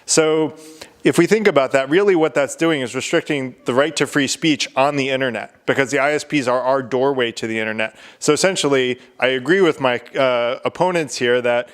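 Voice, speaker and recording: A man arguing in a debate, loud and casual, with frequent ums and uhs. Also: medium pitch, a little fast, smooth. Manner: loud, casual, many fillers. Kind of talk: loose spoken debate argument.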